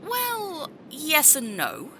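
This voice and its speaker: A woman playing a brash, sharp-tongued secret agent in an audio drama, with a blunt manner. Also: high-pitched, wildly swooping pitch, extremely slow, background noise, full character voice. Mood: guarded, friendly. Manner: blunt, challenging.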